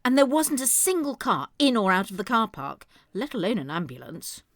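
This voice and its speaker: An actress playing a suspicious, outspoken elderly care-home resident in an audio comedy, with an emphatic, sceptical delivery. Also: female voice, on the high side, very animated, moderate pace, fairly bright tone. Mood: indignant, suspicious. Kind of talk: audio comedy drama acting.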